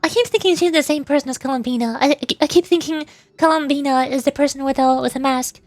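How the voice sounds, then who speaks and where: High-voiced woman streamer, close dry sound. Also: fast talker, no room sound. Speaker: VTuber game streamer. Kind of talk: casual gameplay commentary.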